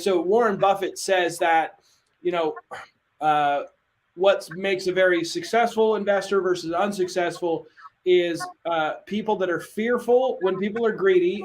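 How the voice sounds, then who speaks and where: A man coaching on a live webinar, energetic and casual with slang. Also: high-pitched, a little hiss or hum. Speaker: real estate coach.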